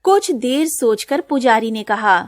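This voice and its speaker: A woman narrating a scripted animated folk tale as its storyteller. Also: a little high, measured pace, no room sound, studio quality.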